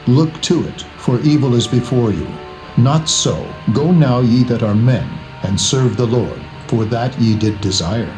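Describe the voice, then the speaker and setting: A man's voice: medium pitch, expressive, unhurried, natural voice, poor audio. Proud, commanding king of Egypt, acted by a man. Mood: scornful, commanding. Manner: commanding, emphatic. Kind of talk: dramatised scripture acting. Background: prominent background music.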